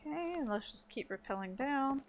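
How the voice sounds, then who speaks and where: A woman's voice: high voice, animated, normal speed, slight background noise. A woman giving casual, chatty video game commentary, thinking aloud as she plays.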